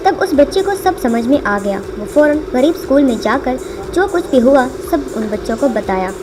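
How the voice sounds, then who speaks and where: A woman's voice: high-pitched, lively intonation, normal speed. A woman reading scripted narration for a children's story as its narrator. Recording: music behind the voice. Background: music.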